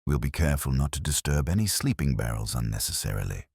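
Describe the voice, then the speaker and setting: A mild, dry-witted police inspector, as a male reader puts him on: calm, measured and wry. His voice sits extremely low and is husky, yet it rises and falls freely. It is heard close and dry, with no room around it. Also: dry recording, full character voice.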